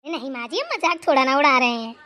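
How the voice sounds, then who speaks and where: A woman's voice: high-pitched, very animated, fast talker, bright, forceful tone, exaggerated voice. A woman voicing a dutiful, anxious young daughter-in-law who talks eagerly and pleads.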